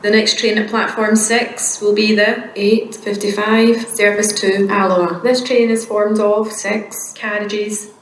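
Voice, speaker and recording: A Scottish woman reading formal, measured automated train announcements in separate phrases over a station public address system. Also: mid-range voice. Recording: noisy, echoey station tannoy recording. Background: station ambience and noise.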